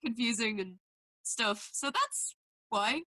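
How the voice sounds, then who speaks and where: A young woman from Mayo with an Irish accent, a musician talking casually about her work with animated, self-deprecating storytelling. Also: fairly high pitch, takes it slowly, no room sound, rough recording. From Mayo, Ireland. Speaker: musician guest. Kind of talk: casual interview chat.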